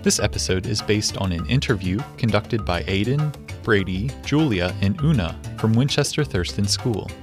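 Low-voiced male announcer over music. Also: lively intonation, moderate pace. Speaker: radio announcer. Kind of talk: scripted outro.

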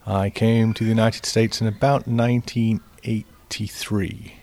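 Man, English accent, from England, low voice, flat steady intonation, measured storytelling. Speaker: interviewee.

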